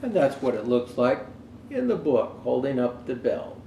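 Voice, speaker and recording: A man's voice: mid-range voice, normal speed, vocal fry, dull audio. Echoey man reading a Christmas storybook. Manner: expressive, raises voice for quoted speech. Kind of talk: reading a children's Christmas storybook aloud, with asides. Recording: roomy echo, faint background noise.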